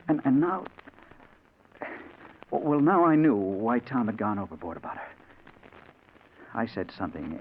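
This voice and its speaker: An actor with an American accent playing an intense, infatuated crime reporter in 1940s radio drama style. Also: male voice, mid-range voice, lightly acted. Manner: intense, dramatic, halting when agitated. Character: hard-boiled male crime reporter, infatuated and haunted. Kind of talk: 1940s radio drama acting, first-person narration. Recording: muffled, hissy 1940s radio recording.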